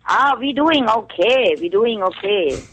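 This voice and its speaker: A woman from Thailand with a Thai accent, calling in as a guest to a radio show and speaking halting English full of fillers. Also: a little high, expressive, a bit bright, slight background noise, low-quality recording. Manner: halting non-native English with frequent fillers. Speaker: call-in guest, author. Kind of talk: phone-in radio interview. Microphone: telephone.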